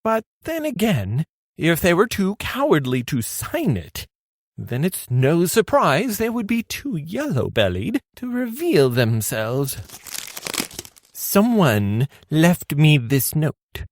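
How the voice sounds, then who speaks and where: Woman acting fussy male gourmet judge, radio play, melodramatic, lively pitch, close dry sound. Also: slow pace, no echo, full character voice. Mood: indignant. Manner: melodramatic, indignant.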